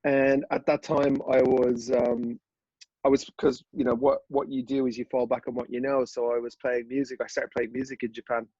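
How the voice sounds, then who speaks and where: A man in his thirties with a Dublin Irish accent, a podcast guest telling his story in a relaxed, rambling way with many fillers and restarts. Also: medium pitch, moderate pace, slightly rough, no echo, highs cut off. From Ireland. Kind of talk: casual interview chat.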